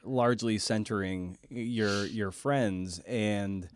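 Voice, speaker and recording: A man hosting a podcast interview in a casual, halting way, often repeating words as he forms his thoughts. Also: fairly low pitch. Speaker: podcast host and writer.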